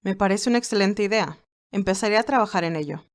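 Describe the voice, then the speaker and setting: A woman's voice: mid-range voice, fast, close to an everyday voice. A woman voicing a loyal, businesslike male assistant who speaks politely and formally in an audio story. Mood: attentive, composed.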